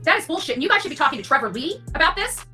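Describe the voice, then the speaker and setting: Female streamer for a fired-up rant: forceful bright tone, blunt and sweary, music playing underneath. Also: very high-pitched, sing-song, rapid-fire. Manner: indignant, emphatic, blunt, sweary. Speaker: online true crime content creator. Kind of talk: impassioned rant to livestream audience. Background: background music.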